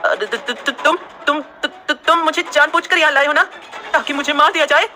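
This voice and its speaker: A woman voicing a jittery, complaining young woman who stammers and turns shrill when frightened. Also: fast, pushed and bright, faint music behind. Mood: terrified.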